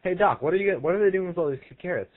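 A man's voice: high voice, very fast, close to an everyday voice. A man playing a casual, slangy sidekick who stammers and exclaims in a comedy skit, on a noisy lo-fi recording. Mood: puzzled. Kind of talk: amateur comedy skit acting. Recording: muffled lo-fi audio with noise and clicks.

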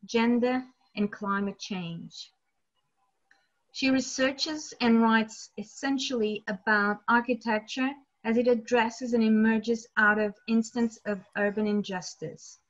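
A woman's voice: a little high, dull audio. A woman hosting an online lecture, reading out a speaker introduction with hesitant fillers. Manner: read aloud with hesitant fillers. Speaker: event host. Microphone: video call.

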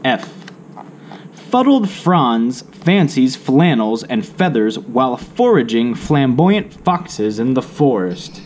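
A man narrating a children's alphabet picture book aloud, in deliberate, separated phrases with drawn-out words. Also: mid-range voice, sing-song, darkish tone. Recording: faint background noise.

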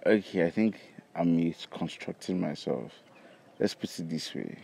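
A man's voice: sits low, lively intonation, measured pace, gravelly, dark tone, husky. A young man with a Nigerian accent giving casual answers in an outdoor interview. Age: young adult. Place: outdoors by campus buildings. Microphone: handheld device held by interviewer. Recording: faint outdoor background noise.

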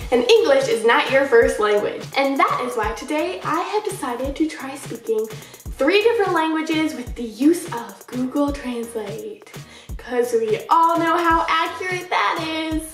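A young woman vlogging from her bedroom, giggling as she stumbles through phrases in other languages. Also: high voice. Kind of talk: casual vlog reading phrases aloud. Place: bedroom, indoors. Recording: echoey room, a bit distant, faint music.

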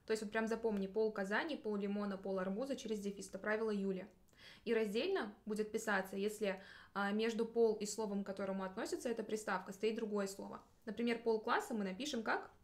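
A woman's voice: medium pitch, steady tone, fast. A woman in her twenties teaching in a casual, chatty and encouraging way, as a language tutor explaining rules in conversation. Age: 20s. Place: small indoor studio.

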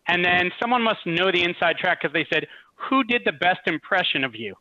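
A man's voice: high-pitched, lively intonation, brisk, sharp tone. A man casually hosting a live panel over a muffled video call from an indoor room. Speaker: host. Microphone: computer mic on video call.

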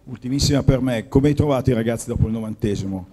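A man's voice: medium pitch, fast, mellow. A man, a sports journalist, building long analytical questions at a press conference.

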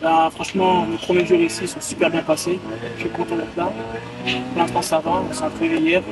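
A man's voice: on the high side, animated, fairly quick, recorded from a distance, over loud music, rough recording. A man in his twenties, a footballer giving casual interview answers outdoors and repeating phrases for emphasis. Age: 20s. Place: outdoors by a hotel pool.

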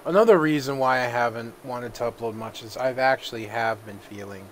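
A man who is feeling a little sick, giving relaxed let's-play commentary and thinking aloud with plenty of fillers. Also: medium pitch, a little fast, slight background noise. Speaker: gaming video host.

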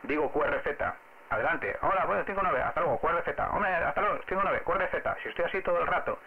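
A man's voice: fairly high pitch, expressive, fast talker, gravelly, slightly rough, phone-quality sound. A man with an accent from Spain, an amateur radio operator explaining things in casual on-air conversation. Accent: Spanish from Spain.

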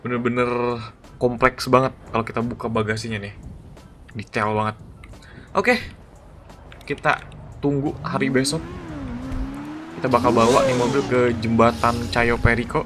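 A man giving excited, slangy gameplay commentary as a gaming YouTuber. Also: medium pitch, normal speed, long pauses, noisy background. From Indonesia. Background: background music.

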